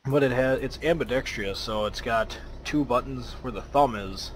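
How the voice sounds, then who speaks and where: A man's voice: medium pitch, moderate pace, a little hiss or hum. A man from the American Midwest casually commentating as he plays a driving game, chatty and rambling with lots of fillers. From Minnesota, United States. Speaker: let's play host.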